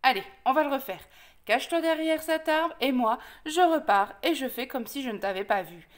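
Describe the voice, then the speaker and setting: A woman's voice: sits high, expressive. Woman as a cheeky, bossy little rabbit. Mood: bossy, scornful. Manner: brisk, bossy, instructing, colloquial. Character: small cheeky bossy rabbit, fearless male trickster.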